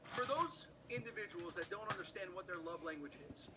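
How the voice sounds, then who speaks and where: Chatty man in his forties, high voice. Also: lively intonation, fairly quick, crowd noise, low-quality recording. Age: 40s. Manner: chatty, rambling, filler-heavy questions. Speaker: host. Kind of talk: conversational interview.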